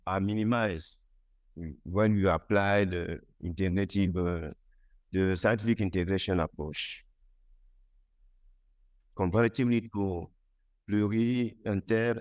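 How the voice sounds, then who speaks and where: A man's voice: fairly low pitch, darkish tone. A man answering on a conference panel, hesitant, with many fillers and restarts.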